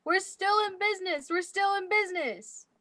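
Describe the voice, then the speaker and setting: A woman playing an excitable, bossy small-town office cousin in a 1940s-style radio play, very exclamatory. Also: very high voice, moderate pace, no echo, clean studio sound. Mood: excited. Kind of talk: 1940s radio drama acting.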